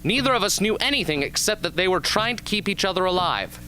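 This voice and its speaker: A man playing a young, haunted but earnest arena survivor with intense, deliberate delivery in an amateur radio drama. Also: high-pitched, expressive, bright, forceful tone, soft background music. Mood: indignant. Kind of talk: amateur radio drama acting, interview scene.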